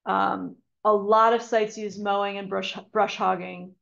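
Woman, online lecture, gravelly fry, frequent ums and restarts, muffled video call audio. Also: mid-range voice, level tone, a little slow, fairly bright tone. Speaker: conservation speaker giving a talk. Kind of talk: webinar lecture with slides.

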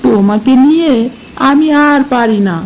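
A lazy cartoon husband who grumbles and sighs in exasperation. His voice is mellow and sits a little high, with faint rain hiss behind. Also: moderate pace, low-quality recording. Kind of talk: cartoon dubbing.

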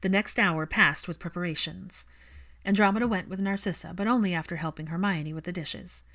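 A woman's voice: lowish voice, brisk, a bit bright. A woman narrating fan fiction as scripted audiobook storytelling.

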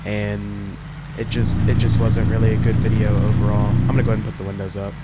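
A young man talks over his gameplay in a stuffy, congested voice, thinking out loud in an easygoing, rambling way. His voice sits low and stays fairly even. A heavy engine drone from the game fills the background. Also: fast talker. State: congested from a cold. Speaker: gaming channel host. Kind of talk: casual gameplay commentary. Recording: loud truck engine game audio behind. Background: loud engine and road noise from the game.

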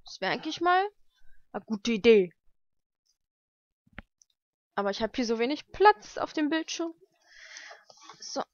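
Higher-voiced young woman, dull lo-fi sound. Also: big pitch swings, a little slow, long pauses, dry recording. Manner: chatty, thinking aloud, informal. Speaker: vlogger unboxing mail-order books. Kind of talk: casual unboxing commentary. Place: lived-in room at home, indoors. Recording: dull lo-fi home recording.

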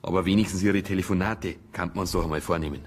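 An actor playing an earnest, dry, matter-of-fact detective with a Bavarian accent in a naturalistic radio drama. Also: male voice, sits low, steady tone, fast talker, natural voice. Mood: irritated, pressing. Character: earnest male detective, patient but easily irritated.

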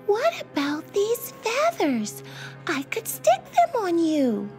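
Curious little kid girl for a storybook, singsong, super high, unhurried. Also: huge pitch swings, a little mellow, faint music behind, exaggerated voice. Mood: curious, eager. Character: curious, caring little girl named Ella.

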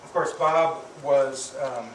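A middle-aged man giving an affectionate eulogy to an audience, speaking haltingly with pauses and repeated words. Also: medium pitch, fairly even intonation, fairly bright tone. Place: medium-sized indoor hall with audience. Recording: distant mic, echoey room, clear background noise.

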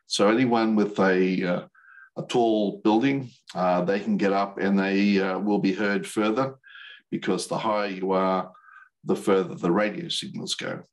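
An older man with an American accent giving an informal slide presentation in a hesitant, halting way. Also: low voice, steady tone, poor audio. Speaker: volunteer instructor, radio operator. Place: video call.

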